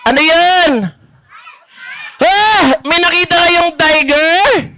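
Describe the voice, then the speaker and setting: A man voicing a mischievous, excitable young grandson puppet with a Filipino accent and a whiny, exclaiming manner in a children's show. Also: very high-pitched, slow, slight background noise, heavily acted. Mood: puzzled, whiny. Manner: whiny, exclaiming, repeats questions.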